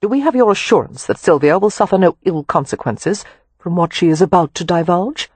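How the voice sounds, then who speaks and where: A prim, waspish governess, as an audiobook narrator puts her on, cool and sarcastic in every word. The voice is lively in pitch with a faintly husky edge. It sounds close and dry. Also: a little fast, dry recording. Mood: acerbic. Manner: acerbic, calm, sarcastic. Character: prim, sharp-tongued young governess. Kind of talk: audiobook character voice.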